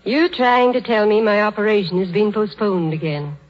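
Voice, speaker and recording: Woman acting as faithful hospital patient, serene, unhurried, 1930s radio drama, long reverb, lo-fi sound. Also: medium pitch, animated, lightly acted. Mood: serene, knowing. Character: calm, devout female hospital patient, slow and serene. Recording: echoey, dull 1930s radio recording.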